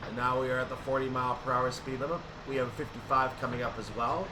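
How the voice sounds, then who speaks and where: A man's voice: mid-range voice, fairly even intonation, fast, noisy background. A man doing casual, explanatory gameplay commentary, thinking aloud as he goes. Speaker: gaming video creator narrating train simulator play.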